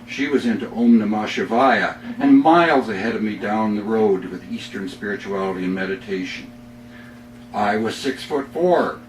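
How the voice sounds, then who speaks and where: Middle-aged Canadian male poet, deliberate dramatic phrasing, echoey room, faint background noise. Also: lowish voice. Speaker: poet reading own work. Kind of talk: live poetry reading. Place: bookstore reading before a small audience. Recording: roomy, distant mic, faint noise.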